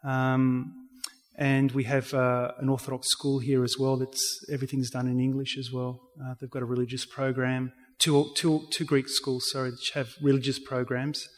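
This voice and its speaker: Aussie guy giving a church talk, kinda halting and earnest, big echoey hall. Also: medium pitch, little pitch movement. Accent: Australian. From Australia. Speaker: religious speaker giving a community talk. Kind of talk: public talk with answers to audience questions. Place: reverberant indoor hall. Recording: echoey hall with long reverb.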